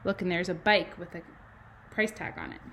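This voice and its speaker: A woman, a kindergarten teacher reading to children and adding chatty, animated explanations and questions in simple words. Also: lowish voice, normal speed, a little hiss or hum. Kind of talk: children's read-aloud with chatty asides.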